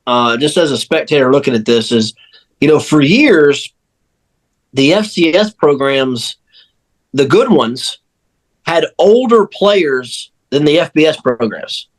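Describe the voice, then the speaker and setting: A man's voice: a little high, animated, unhurried, rough recording. A man on a sports podcast crew, talking casually and emphatically, repeating phrases to drive points home. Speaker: sports radio crew member and panelist.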